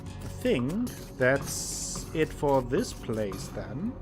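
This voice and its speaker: Male let's-player, playful, reads game text aloud, steady middle pitch, music bed. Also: very slow. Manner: playful, reads narration with exaggerated pitch swings. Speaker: gameplay streamer. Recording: music playing underneath.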